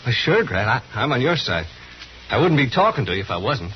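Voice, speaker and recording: An actor with a rural Western American accent playing a chatty, ingratiating, nervous saloon drifter in an old-time radio western, stammering and drawling. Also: male voice, medium pitch, big pitch swings, fairly quick, natural voice. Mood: uneasy. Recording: muffled old radio under loud engine noise. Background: loud vehicle engine.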